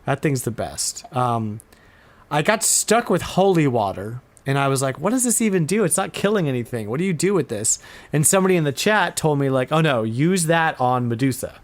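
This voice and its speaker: A man hosting a casual video game podcast, talking with animated, exasperated emphasis. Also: fairly high pitch, a little fast. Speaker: podcast host.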